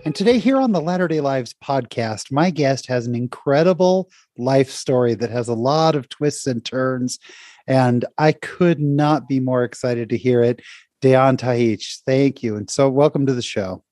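A man's voice: medium pitch, normal speed, a little mellow, no echo, studio quality. A man hosting a podcast interview, upbeat and friendly, with frequent fillers. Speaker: podcast host.

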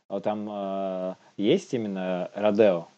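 A man co-hosting a news commentary show, reading items and joking casually. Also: on the low side. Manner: joking, laughs often.

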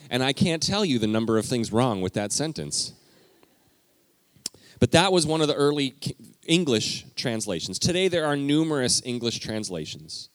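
A man preaching a conversational teaching sermon in a church, talking directly to the congregation with lively emphasis. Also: medium pitch, moderate pace, no room sound. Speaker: pastor. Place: church sanctuary.

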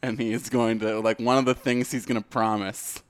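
A man's voice: medium pitch, little pitch movement, moderate pace, clean tone, no room sound. A man co-hosting a podcast, chatting casually and making jokes. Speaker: podcast co-host. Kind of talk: casual joking chat.

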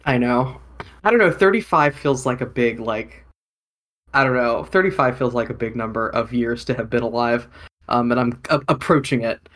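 Podcast co-host, man in his 30s, rambly, self-deprecating, even middle pitch. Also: moderate pace. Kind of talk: casual chat.

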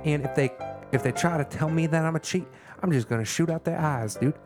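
A man's voice: on the high side, brisk, over music, voice pushed up, lightly acted. A man putting on the voice of a swaggering Southern cowboy outlaw, boastful and sly, while joking with a friend on a podcast. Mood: cocky.

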